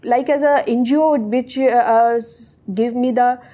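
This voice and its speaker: A young woman whose first language is Urdu, answering interview questions in hesitant, halting English. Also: a little high, steady tone, dark tone. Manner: hesitant, searching for words. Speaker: interviewee, technical associate.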